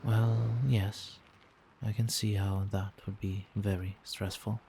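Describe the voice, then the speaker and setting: A man playing a doting, gentle vampire boyfriend in a soft-spoken ASMR roleplay, tender, reassuring and coaxing. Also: fairly low pitch, level tone, slow pace, slight background noise. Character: doting, gentle male vampire boyfriend soothing partner to sleep.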